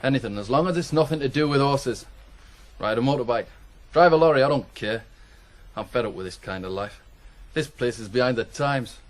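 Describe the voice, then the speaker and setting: An actor playing a restless, scornful young farm lad in a radio drama. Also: male voice, mid-range voice, lively intonation, a little fast, natural voice. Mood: fed up. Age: young adult. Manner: blunt, mocking. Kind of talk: naturalistic radio drama acting.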